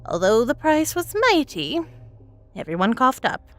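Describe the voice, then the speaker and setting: A woman voicing a very elderly village woman, telling a grim tale from her childhood in a folksy, deliberate, dramatic manner. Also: fairly high pitch, big pitch swings, pitched above the performer's own voice. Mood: wry, ominous. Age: old woman as played. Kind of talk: dramatic first-person storytelling.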